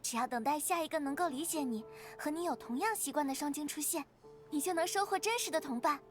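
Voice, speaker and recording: A woman voicing an earnest, inquisitive young woman adventurer in an anime-style game, with expressive, clearly articulated delivery. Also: high-pitched, quick pace, over music, lightly acted. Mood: thoughtful explaining. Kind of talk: scripted anime game voice acting.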